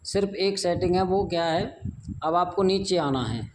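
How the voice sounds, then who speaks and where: A man's voice: high-pitched, lively intonation, moderate pace, darkish tone. A man from India giving an informal step-by-step phone tutorial, repeating his phrases and instructions as he goes. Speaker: tech tutorial host. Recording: noisy amateur recording with background ambience.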